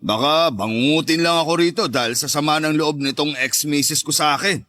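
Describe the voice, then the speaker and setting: A man's voice: on the high side, a little fast, bright, forceful tone. A man playing a mocking, domineering macho ex-husband in a radio drama, brash and taunting. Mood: mocking, needling. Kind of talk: Tagalog radio drama acting.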